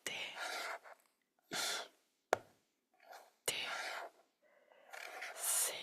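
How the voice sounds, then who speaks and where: A woman in her twenties whispering close to the mic in a chatty ASMR video, rambling and repeating trigger words softly. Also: very slow. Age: 20s. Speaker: ASMR creator.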